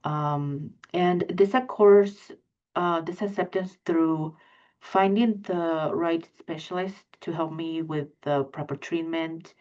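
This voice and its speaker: A woman with a Mexican accent speaks in a low voice, earnest and halting, full of fillers and restarts. Her audio is dull and lo-fi, like a video call. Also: fairly even intonation, darkish tone. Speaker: panelist. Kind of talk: live panel discussion.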